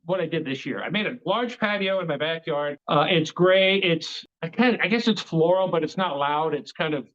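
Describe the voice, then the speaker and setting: A man's voice: on the high side, expressive, moderate pace, few pauses, fairly bright tone, muffled recording. A man who is a guest on an interview podcast, talking casually and rambling with many restarts. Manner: chatty, rambling, frequent restarts. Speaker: guest, game show creator.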